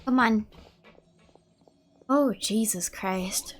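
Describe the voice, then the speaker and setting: A woman streamer casually reacting to a video game with expressive, drawn-out exclamations. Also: medium pitch, slight background noise. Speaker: gameplay streamer.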